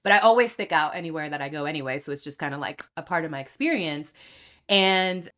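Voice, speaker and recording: Young Dominican-born woman guest, low-pitched, candid and animated storyteller. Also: quick pace. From Dominican Republic. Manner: animated, acts out quoted voices, laughs easily. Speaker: podcast guest.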